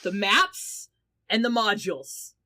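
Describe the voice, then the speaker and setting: A woman with a mid-pitched, very bright and forceful voice. She chats bluntly and swears casually as a podcast player. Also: expressive, takes it slowly. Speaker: player on an actual-play podcast. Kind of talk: casual panel chat.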